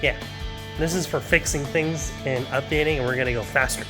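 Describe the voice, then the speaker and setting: A man's voice: medium pitch, fairly even intonation, brisk, over music, low-quality recording. A young man, a little sick and wheezy, talking casually through his car work in a garage vlog with lots of ums and side remarks. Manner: rambling, lots of ums and asides. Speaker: car hobbyist vlogger. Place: home garage.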